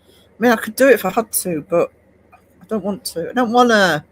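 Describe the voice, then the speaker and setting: Livestream host, man, high-pitched, rambling and chatty with his viewers. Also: moderate pace, a bit bright, slight background noise. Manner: chatty, rambling, many fillers and restarts. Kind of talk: casual chat reading live comments.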